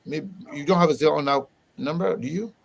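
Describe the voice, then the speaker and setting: A man hosting a casual live interview, animated and quick to laugh, often repeating his words. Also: a little high, creaky. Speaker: host.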